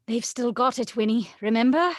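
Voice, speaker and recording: A woman playing a dim, mild witch sister who gently contradicts in matter-of-fact, halting, archaic words, reading from a script. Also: a little high, no room sound, clean studio sound, lightly acted. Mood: meekly contradicting. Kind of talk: script table read.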